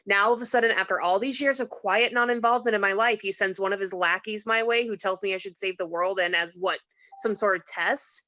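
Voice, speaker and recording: Casting: blunt, skeptical mortal woman played by an actress, mid-pitched, table-read delivery, slightly muffled lo-fi recording. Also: fairly even intonation, a little fast, pushed and bright, lightly acted. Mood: bitter. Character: skeptical, bitter mortal woman, reluctantly chosen. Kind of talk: table read of a film script.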